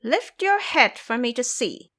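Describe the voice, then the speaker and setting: Woman playing a haughty, high-pitched monkey king. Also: big pitch swings, unhurried, pushed and bright, heavily acted. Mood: amused. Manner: commanding, haughty, teasing. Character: proud, sharp, mocking male monkey king. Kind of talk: audio drama acting.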